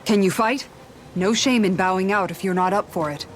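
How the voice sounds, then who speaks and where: A dry-witted female adventurer from a fantasy game, played by a woman. She has a mid-pitched voice and a matter-of-fact, wry delivery over faint ambient noise. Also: normal speed, lightly acted. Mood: wry, concerned. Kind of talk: dubbed anime-style game voice acting.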